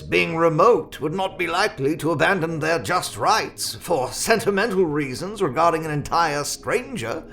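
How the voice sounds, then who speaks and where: Victorian solicitor, audio drama: higher-pitched, very animated, genial, pedantic and effusively formal. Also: male voice, vocal fry, exaggerated voice. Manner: effusive, formal, long-winded. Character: genial, self-satisfied, pedantic male Victorian family solicitor.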